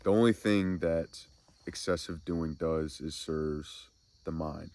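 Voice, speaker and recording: A man hosting a soft-spoken spiritual ASMR talk, congested and nasal from a cold, musing slowly and reflectively. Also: steady tone. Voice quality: deep, gravelly. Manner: slow, meandering reflective musing. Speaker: ASMR spiritual channel host.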